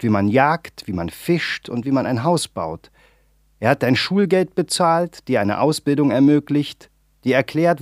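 A steady, commanding mother, put on by a male reader whose voice sits higher than his own. She speaks in measured, deliberate sentences, and the sound is dry and close. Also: no room sound, close to an everyday voice. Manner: firm, measured, instructive. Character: calm, proud mother lecturing her grown son.

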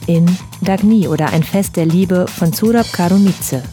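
A woman introduces a book segment on the radio with even, composed, scripted delivery. Her voice sits somewhat low for a woman, with faint music beneath it. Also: moderate pace. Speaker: radio presenter.

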